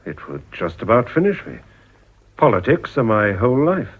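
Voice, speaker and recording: An actor with an English accent playing an earnest, ambitious Edwardian politician in a period radio drama. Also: male voice, mid-range voice, wildly swooping pitch, moderate pace. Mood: eager, confiding. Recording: dull, slightly muffled old radio recording.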